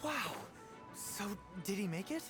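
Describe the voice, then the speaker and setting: An eager young boy full of admiration, earnest and wide-eyed in everything he says. Clear game music runs behind him. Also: mid-range voice, very animated, unhurried. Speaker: video game character.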